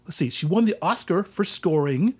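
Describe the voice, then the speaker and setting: A man explaining in a relaxed conversational way, posing questions to himself and then answering them. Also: high-pitched, moderate pace, husky.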